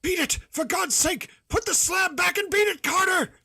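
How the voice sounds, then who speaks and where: A man voicing a terrified man trapped underground, yelling frantic, strained warnings from a distance in a horror story reading. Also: very high-pitched, fairly even intonation, slow, husky, no echo, clean studio sound, voice pushed up, exaggerated voice. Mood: frantic terror.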